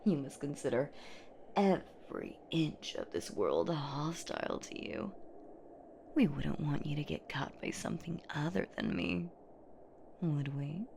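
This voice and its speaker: A woman acts out a menacing female monster from a horror audio roleplay, teasing and theatrical. She speaks very slowly with heavy creak in her voice, swooping widely as she toys with her prey. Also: low-pitched, big pitch swings, darkish tone, a little hiss or hum, exaggerated voice. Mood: sinister, relishing. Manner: theatrical, teasing, slow, often dropping to a whisper. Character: menacing, playful centuries-old female boogeywoman monster hunting her prey.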